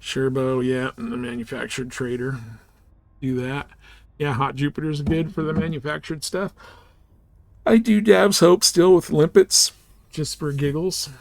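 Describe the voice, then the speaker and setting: A man's voice: on the high side, steady tone, measured pace, creaky, darkish tone. A man livestreaming a video game with casual commentary, rambling a little as he thinks aloud. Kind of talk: casual live gameplay commentary.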